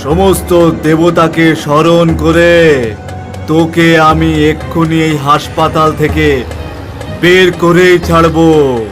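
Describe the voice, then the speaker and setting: A man voicing a stern exorcist holy man in a cartoon, solemn, deliberate and commanding. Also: a little high, little pitch movement. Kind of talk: cartoon voice acting. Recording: music behind the voice.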